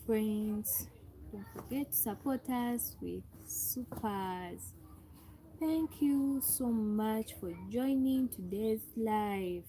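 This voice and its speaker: Slow, mellow Ugandan woman livestreaming. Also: fairly high pitch, a little hiss or hum, poor audio. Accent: Ugandan English. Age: young adult. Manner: repetitive, calls out to viewers and asks for thumbs up. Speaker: livestream host. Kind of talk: live stream greeting viewers.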